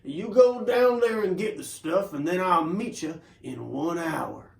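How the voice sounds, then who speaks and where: A music teacher voicing Wild Bill, an easygoing male cowboy, in a slow, low drawl. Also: expressive, creaky, a little mellow. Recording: roomy echo.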